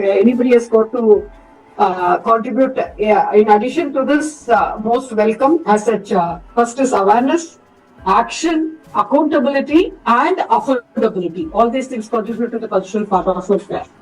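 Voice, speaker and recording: A woman's voice: on the high side, moderate pace, soft background music. Woman moderating, distant from the mic. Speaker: session moderator and speaker. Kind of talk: unscripted formal online conference remarks. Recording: distant microphone.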